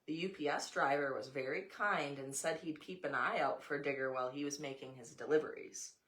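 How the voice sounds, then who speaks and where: A woman's voice: low voice, a little fast, fairly bright tone. An American woman reads a children's picture book aloud, adding informal asides to a young child. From United States. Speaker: storybook reader, mother.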